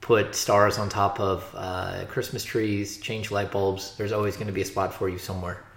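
Low-voiced man in an echoey room. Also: flat delivery, normal speed, a bit bright. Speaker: podcast host, sports interviewer. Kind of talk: casual conversational interview. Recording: echoey, roomy sound.

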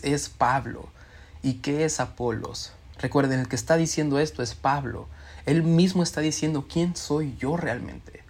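A man delivers a sermon. He reads scripture aloud and acts out quoted speakers with expressive shifts in his voice. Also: mid-range voice. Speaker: preacher.

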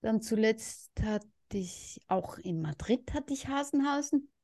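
A woman's voice: fairly high pitch, expressive, a little slow, mellow. A woman co-hosting a podcast, chatting playfully and giggling often. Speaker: podcast co-host. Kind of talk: casual chat.

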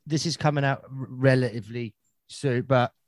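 A man's voice: mid-range voice, steady tone, moderate pace, dry recording, studio quality. An English man hosting a podcast, casual and crude, swearing often in banter. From England. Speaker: podcast host.

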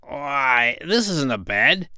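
A man voicing a young excitable male pig in a storybook reading. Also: medium pitch, slow pace, smooth, dry recording, clean studio sound, voice pushed up, full character voice. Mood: excited.

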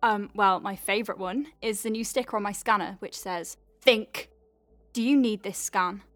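A woman's voice: medium pitch, moderate pace, fairly bright tone, no echo, faint music behind, lightly acted. Woman acting as wry, hesitant young-sounding space doctor, dry sound. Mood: sheepish, wry. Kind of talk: naturalistic audio drama acting.

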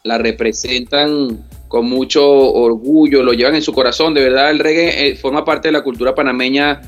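A man's voice: mid-range voice, fairly even intonation, normal speed, soft background music. A man from Venezuela, a reggae artist, answering interview questions in an earnest way, often repeating words and checking that he is understood.

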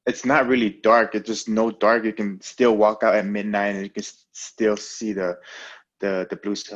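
A man's voice: fairly low pitch, little pitch movement, moderate pace, lo-fi sound. A young man from American Samoa, a pro fighter, talking in a laid-back, hesitant way on a remote call. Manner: laid-back, hesitant, many fillers and restarts. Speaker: professional fighter, guest. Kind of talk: casual interview.

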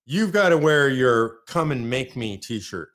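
A man's voice: medium pitch, expressive, measured pace, fairly bright tone. American guy from california ranting hard on a live show, heated, repeats himself for punch. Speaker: political show host. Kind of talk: live political commentary.

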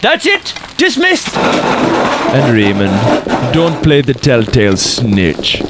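A man's voice: medium pitch, wildly swooping pitch, slow pace, very noisy, full character voice. A man playing a pompous, menacing college teacher in a comic audio drama, pedantic and grandly scornful.

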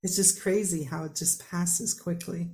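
A woman's voice: fairly low pitch, steady tone, a little slow, dark tone. A woman in her fifties hosting a podcast. She chats casually with a guest over a video call and laughs often. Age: 50s. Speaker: podcast host. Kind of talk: casual chat.

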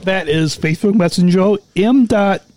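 Male podcast co-host, high mellow voice, dry close sound, cheerful rambling sign-off. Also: normal speed. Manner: repetitive, stumbling, upbeat.

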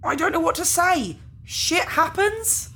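A woman's voice: extremely high pitch, unhurried, sharp tone, exaggerated voice. A woman playing a casual, apologetic organ harvester in a science fiction audio drama, talking in an awkward, nonchalant way. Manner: nonchalant, hesitant, politely awkward.

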